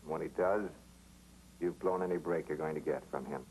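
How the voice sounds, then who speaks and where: An American actor playing a calm, helpful amateur sleuth in a 1950s television crime drama, on a vintage soundtrack. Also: male voice, rumbling low, a little fast, a little hiss or hum, low-quality recording. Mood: firm, persuading.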